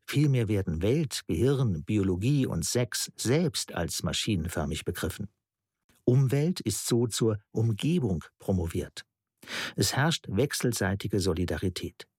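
A man's voice: lowish voice, big pitch swings, a little slow, darkish tone, husky, no echo, studio quality, full character voice. An actor playing an earnest male theorist who lectures precisely and didactically in a German radio play. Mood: earnest, didactic.

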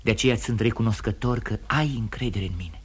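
Polite devoted gent in a radio drama, lowish husky voice, earnest and restrained. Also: male voice. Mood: tender, devoted. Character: courteous, devoted gentleman friend, quietly in love. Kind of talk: Romanian radio theatre acting.

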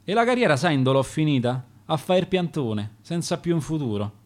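A man with a Roman accent reciting his own rhymed sonnets on radio, in a measured, rhythmic verse delivery. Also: fairly high pitch, big pitch swings. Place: radio studio.

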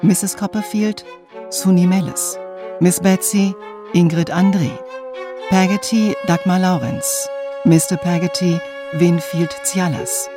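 A woman announcer reading scripted radio drama credits in a measured, formal way. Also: lowish voice, fairly even intonation. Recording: prominent violin music behind. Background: violin music.

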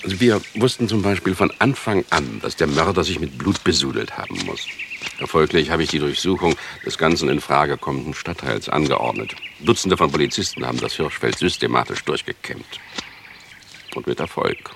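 A police inspector from a radio crime drama, with a very deep, creaky voice. He probes politely and with sly irony, in measured phrases. There is clear noise on the recording. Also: lively intonation, exaggerated voice. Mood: earnest, explaining. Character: probing police chief inspector, courteous, slyly ironic man. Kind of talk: German radio crime play dialogue.

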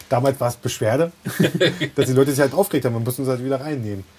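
A man co-hosting a podcast, telling casual anecdotes in an animated, conversational way. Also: mid-range voice, normal speed, slight background noise. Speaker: podcast co-host. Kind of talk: casual chat, animated storytelling.

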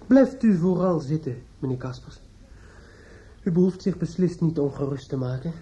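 A dull, lo-fi radio play recording of a male actor as a despairing judge's son with a fairly high, very soft-edged dark voice. He is obsessive and brooding, keeping a polite surface over his bitterness. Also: expressive, a little hiss or hum, lightly acted. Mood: icily polite. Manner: halting and hesitant with others, brooding and repetitive when alone. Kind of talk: radio drama acting with interior monologue.

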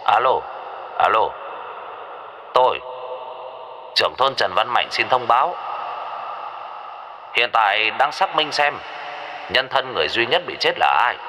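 A man voicing a village chief who reads out a formal announcement over the loudspeaker. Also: mid-range voice, lively intonation, measured pace, long pauses, voice pushed down, natural voice. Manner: formal public announcement, repeated calls. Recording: noisy village loudspeaker broadcast.

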